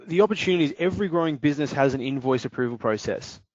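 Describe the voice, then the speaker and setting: A man walking through a software demonstration in a webinar, explaining steps with frequent fillers. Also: on the high side, animated, racing, no echo, dull audio. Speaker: software demo presenter.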